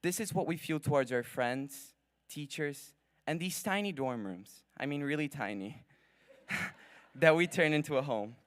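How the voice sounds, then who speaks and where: A young man whose first language is Portuguese, giving an earnest, formal graduation speech from a podium at a ceremony, with measured pacing and dramatic pauses. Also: on the high side, expressive, fairly bright tone, no echo, studio quality. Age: young adult. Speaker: graduating student speaker. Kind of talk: prepared commencement speech.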